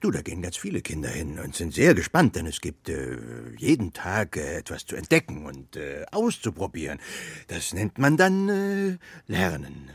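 Husky, very expressive old father. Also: low voice, normal speed, hardly any pauses, no room sound, full character voice. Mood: hesitant, explaining. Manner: hesitant explaining, gently firm. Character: kindly, tired old woodcarver father. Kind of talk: children's radio play acting.

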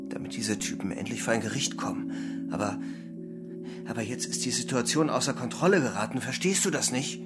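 A man's voice: medium pitch, a little fast, over loud music, close to an everyday voice. An actor playing a disillusioned double agent who narrates his own story in a German radio crime drama. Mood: agitated, urgent.

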